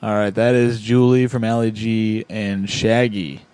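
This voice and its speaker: A man's voice: on the low side, steady tone, a little slow, darkish tone, clean tone, faint music behind. A man hosting a podcast, reading out listener comments in a laid-back, chuckling way. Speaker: podcast host.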